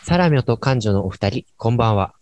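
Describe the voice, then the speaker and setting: A man's voice: medium pitch, lively intonation, fast, a little mellow, dry recording, natural voice. A man playing a laid-back late-night radio host and dry straight man in an amateur radio drama. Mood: relaxed, wry.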